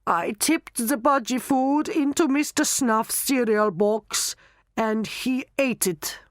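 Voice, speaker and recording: Storybook character: hypnotised gruff man, played by a woman, robotic, obedient, slow delivery, dry sound. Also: on the high side, no room sound, pitched above the performer's own voice. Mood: robotic confessing.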